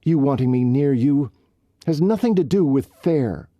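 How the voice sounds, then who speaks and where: A man's voice: medium pitch, expressive, unhurried, warm tone, dry recording, muffled recording, voice pushed up, lightly acted. A man voicing a fiery, sarcastic young woman rodeo rider who puts on a lilting foreign accent. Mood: heated. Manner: sarcastic, heated.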